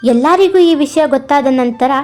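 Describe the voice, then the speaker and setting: A woman narrating an animated moral story in a steady, storytelling manner. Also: high-pitched, moderate pace, over loud music.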